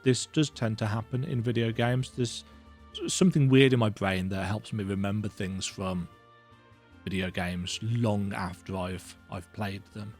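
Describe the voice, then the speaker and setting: A man's voice: fairly low pitch, fairly even intonation, unhurried, a little mellow. Rambling casual man over music. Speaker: video game let's play host. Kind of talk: casual, rambling gaming commentary.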